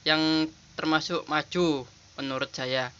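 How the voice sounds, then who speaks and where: A young man with a cold speaks in a hoarse voice while he walks through his slides, halting and polite. His voice is bright and clear and sits a little high. It comes through a dull, lo-fi home recording. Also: fairly even intonation. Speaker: student presenter. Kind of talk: class presentation over slides. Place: small shop.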